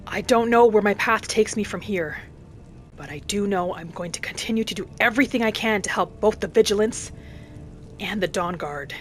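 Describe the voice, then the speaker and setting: A woman's voice: medium pitch, moderate pace. Earnest woman roleplaying over music. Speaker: gameplay roleplayer. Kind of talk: in-character roleplay commentary. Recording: game music behind the voice. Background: game music.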